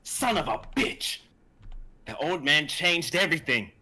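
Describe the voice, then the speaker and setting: A man playing a desperate, hot-tempered lab assistant turned thief in a live drama reading, urgent and husky. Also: a little high, big pitch swings, unhurried, sharp tone, heavily acted. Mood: tense, irritated. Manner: urgent, emphatic.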